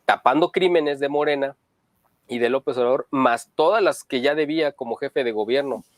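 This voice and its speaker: A man's voice: medium pitch, normal speed, no echo. Dry-sounding man giving political opinions. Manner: steady, opinionated, pointed. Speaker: news commentary co-host. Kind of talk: political commentary chat.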